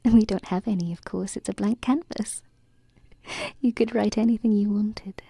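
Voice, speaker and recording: A woman voicing a gentle, wistful alien princess in a breathy whispered ASMR roleplay, close to a binaural mic. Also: mid-range voice, fairly even intonation, no room sound. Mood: gently wondering. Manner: slow, tender, reflective, with pauses. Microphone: close binaural microphone. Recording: close-up whisper with faint background noise.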